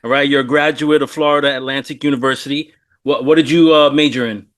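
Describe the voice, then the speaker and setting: A man's voice: mid-range voice, fairly even intonation, fast talker, a bit bright. Middle-aged man hosting a casual podcast. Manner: informal, laid-back, slangy, calls guest "man" and "bro". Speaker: podcast host. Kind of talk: casual sports interview chat.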